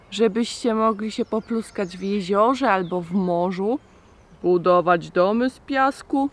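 A woman in her thirties presenting a children's puppet show outdoors, talking simply and directly to the children. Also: medium pitch. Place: outdoors in a garden.

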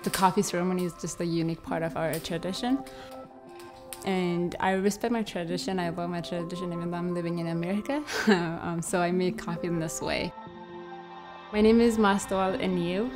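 A soft-spoken young woman from Ethiopia tells her story in an earnest, halting way, with a fair amount of pauses and fillers. Her voice sits a little low, and clear music plays under her throughout. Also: fairly even intonation. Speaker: scholarship recipient. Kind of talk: documentary interview voice-over. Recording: music playing behind.

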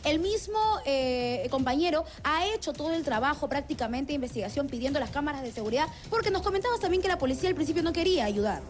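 A young woman with a Peruvian accent reporting live from a city street into a handheld mic, brisk and with restarts. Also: high voice, lively intonation. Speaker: field reporter.

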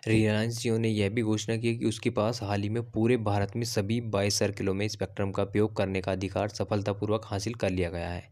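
A man's voice: fairly low pitch, level tone, a little mellow. A man hosting his own channel, talking through auction figures shown on screen and reading lists of regions and numbers. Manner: reads slowly and haltingly in English passages; lists circles and figures off slides. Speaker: channel host. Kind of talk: explainer reading documents on screen. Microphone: voice-over over slides.

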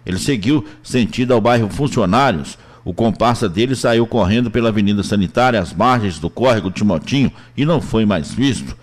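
A man's voice: lowish voice, expressive, fairly quick, few pauses. A man with a Brazilian accent reading scripted police news reports as a reporter.

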